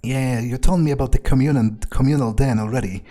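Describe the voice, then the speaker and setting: Mellow, musing man role-playing through a game. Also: mid-range voice, a little fast. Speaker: gamer role-playing his character. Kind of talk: in-character playthrough commentary, improvised.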